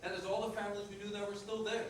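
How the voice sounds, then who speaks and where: A frank, remorseful working-class ex-fiancé, acted by a man in a stage play, plain-spoken and rather high in pitch. The sound is distant, with heavy room echo. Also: flat delivery, racing, close to an everyday voice. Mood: matter-of-fact. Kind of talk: staged reader's theater dialogue. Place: indoor performance room, distant microphone. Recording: echoey noisy room recorded from far off.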